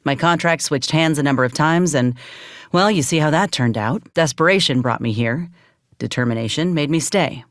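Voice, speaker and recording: A woman's voice: low voice, normal speed, dry recording, close to an everyday voice. An actress plays a battle-worn frontier woman soldier in a video game, loyal and guarded. She talks in a folksy, plainspoken way with a dry, wry edge. The sound is dry, with no room on it. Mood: earnest, determined. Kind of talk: video game character dialogue.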